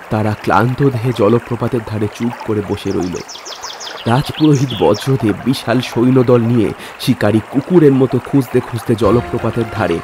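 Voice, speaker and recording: A man reading a dramatic story as a narrator, with measured, literary delivery. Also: fairly low pitch. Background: music.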